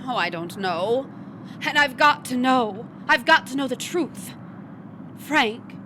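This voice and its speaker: An actress playing an anxious, intense woman in love, earnest and halting, in an old-fashioned melodramatic stage style. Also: high voice, lively intonation. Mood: anxious, searching. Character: Cora, anxious, intense woman lover, wary and weary. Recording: noisy live online stage recording.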